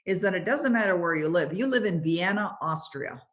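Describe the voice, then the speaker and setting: A woman's voice: lowish voice, animated, fairly bright tone. Chatty middle-aged Canadian woman host. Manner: chatty, encouraging, quick asides with fillers. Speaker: podcast host. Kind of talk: interview conversation.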